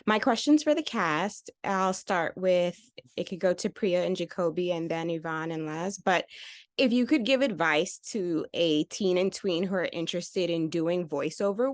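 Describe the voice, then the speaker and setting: A woman's voice: on the low side, no room sound, studio quality. A middle-aged woman asking an informal interview question at a press event.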